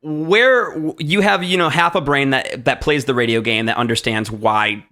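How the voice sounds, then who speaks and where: A man's voice: mid-range voice, normal speed, bright, forceful tone. A man hosting a talk show, giving conversational commentary in an emphatic, explanatory way and often checking in with rhetorical questions. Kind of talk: conversational music industry commentary.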